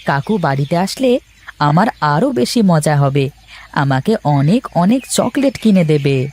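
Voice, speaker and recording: Man voicing a cheerful high-pitched little boy. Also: sing-song, a little slow, a little mellow. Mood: gleeful. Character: cheerful chocolate-loving little village boy, a nephew. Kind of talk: Bengali cartoon story dialogue.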